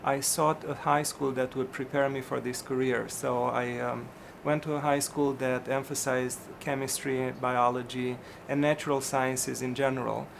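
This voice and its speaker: A middle-aged physician from Romania, answering interview questions in a hesitant way, with many ums and restarts. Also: male voice, medium pitch, level tone, normal speed, gravelly, hardly any pauses, slight background noise.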